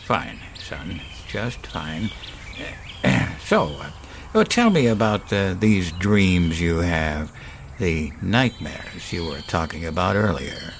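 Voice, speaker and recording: Elderly grandfather, horror audio drama. Deepish, very slow, wistful musing with sighs, chuckles and quiet menace. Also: big pitch swings, a little hiss or hum, heavily acted. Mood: haunted, ominous. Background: music.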